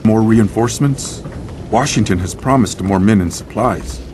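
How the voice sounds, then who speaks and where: A man acting a deep, solemn, stoic warrior. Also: background noise, close to an everyday voice. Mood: watchful. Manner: measured, formal, without contractions. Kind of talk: video game cutscene acting. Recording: music behind the voice.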